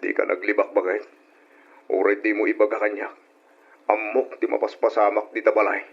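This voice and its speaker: Tender young husband, soft earnest delivery, slightly rough voice, dull old radio soap audio. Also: male voice, on the low side, monotone, moderate pace. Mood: tender, grateful. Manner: earnest, gentle. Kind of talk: old-time radio drama acting. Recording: muffled old radio recording.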